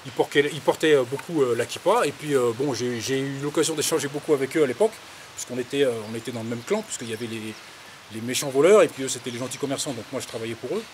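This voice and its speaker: Man, 50s, from the Paris suburbs. Medium pitch, colloquial and halting storyteller in an interview, faint-to-clear hiss on the recording. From Paris suburbs, France. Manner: hesitant, rambling, colloquial. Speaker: interviewee, farmer. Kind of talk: casual autobiographical interview, recounting anecdotes.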